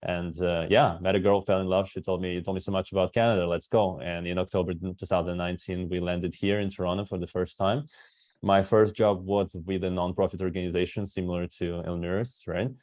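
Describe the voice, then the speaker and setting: Talkative thirties male recruiter, first language Russian, deep voice, dry sound. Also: little pitch movement, a little fast, a little mellow, no echo. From Kazakhstan. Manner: talkative, tags many sentences with "right?". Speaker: guest speaker, recruiter. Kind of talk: webinar talk.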